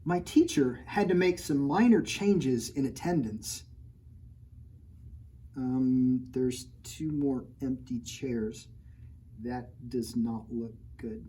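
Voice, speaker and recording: Playful man reading to kids, mellow voice. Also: medium pitch, slow pace, slightly rough, poor audio. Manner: playful, jokey, mock-outraged, talking straight to children. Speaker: children's author reading his own book. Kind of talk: storytime read-aloud with playful asides to kids.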